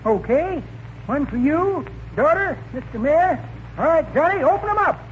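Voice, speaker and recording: Very high-voiced folksy old-timer. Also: male voice, animated, normal speed. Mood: eager, folksy. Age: older. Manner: folksy, familiar, exclamatory. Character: excitable old man peddling raffle chances, folksy and pushy. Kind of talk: 1940s radio comedy acting. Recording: dull, noisy 1940s radio recording.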